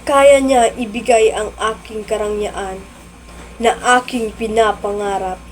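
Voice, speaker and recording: A woman with a Filipino accent playing a vain, wealthy young woman torn over love, in a theatrical monologue with deliberate dramatic pauses. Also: mid-range voice, steady tone, a little hiss or hum, natural voice, low-quality recording. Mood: calculating, earnest. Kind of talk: theatrical student monologue in Tagalog.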